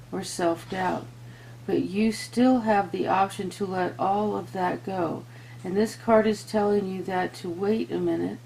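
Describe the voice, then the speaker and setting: A woman's voice: medium pitch, gravelly, dark tone, slight background noise, rough recording. A woman hosting a tarot reading, earnestly addressing viewers, moving between reading aloud and informal asides. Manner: earnest, talks to viewers and to spirit. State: voice giving out, throat-clearing. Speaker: tarot reader and host.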